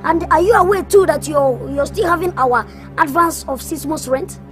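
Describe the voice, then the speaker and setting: A woman's voice: sits high, moderate pace, faint music behind, lightly acted. A woman acting an assertive tenant in a comedy drama, polite but challenging, with a Nigerian accent. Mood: pointed. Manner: formal, pointed.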